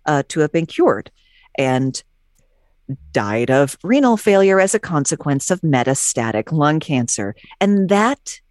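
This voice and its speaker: Low-voiced woman with wry, deadpan asides. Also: a little slow, no echo, clean studio sound. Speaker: podcast guest. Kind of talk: casual chat, reading facts from notes.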